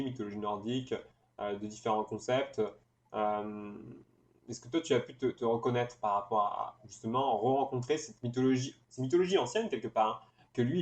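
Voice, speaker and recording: A man hosting an interview, putting long, reflective questions in a measured, wordy way. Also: medium pitch. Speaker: interviewer, host. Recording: echoey room.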